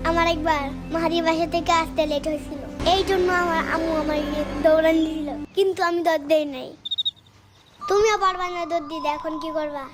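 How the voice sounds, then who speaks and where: A performer voicing a small, bossy yet caring cartoon child with a Bangladeshi Bengali accent, full of nagging questions. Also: very high-pitched. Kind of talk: animated cartoon dialogue.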